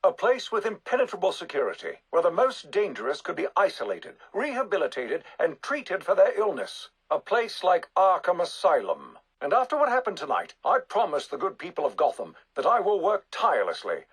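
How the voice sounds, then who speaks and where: An actor playing a zealous, self-assured public figure, speaking pompously and emphatically in a TV debate. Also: male voice, high-pitched, wildly swooping pitch, brisk, few pauses, breathy edge, heavily acted, poor audio. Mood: resolute. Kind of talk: broadcast debate speech.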